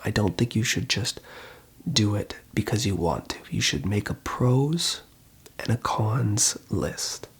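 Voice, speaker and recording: An ASMR host whispering in a breathy voice, giving reassuring, unhurried advice as a call centre phone counsellor. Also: medium pitch, expressive.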